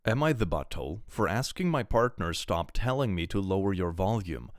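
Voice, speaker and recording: Low-voiced lively male streamer. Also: moderate pace, no room sound, clean studio sound. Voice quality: powerful voice. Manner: animated, jumps into high excited exclamations. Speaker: streamer reading and reacting to online posts. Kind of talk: casual live-stream reading and commentary.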